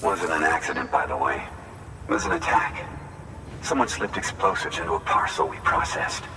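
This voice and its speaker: Weary game outpost worker, deep voice, earnest, coarse-mouthed, halting, off-mic, noisy surroundings. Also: male voice, animated, far from the mic. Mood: angry, shaken. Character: weary, grateful male outpost worker, rattled and blunt. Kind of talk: naturalistic video game cutscene acting.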